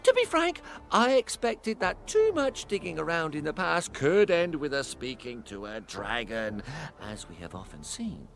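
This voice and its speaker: An actor playing a pedantic, excitable scholar of clan lore in a fantasy video game, theatrical and teasing. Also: male voice, a little high, unhurried, gravelly, a little hiss or hum, full character voice. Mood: excited, teasing. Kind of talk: video game cutscene acting.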